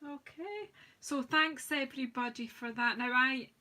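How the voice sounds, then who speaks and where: A woman with a slightly croaky voice, chatting and rambling as she hosts a live craft demonstration. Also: sits high, measured pace, pushed and bright. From United Kingdom. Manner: chatty, rambling, self-deprecating, talks while working. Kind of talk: live-stream craft demo chat.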